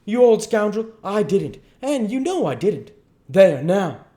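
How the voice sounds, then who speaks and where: A man's voice: fairly high pitch, animated, moderate pace, dark tone, pitched above the performer's own voice, close to an everyday voice. A man voicing a domineering, scornful swindler who scolds in folksy rural speech, in a dramatised audiobook. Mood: furious.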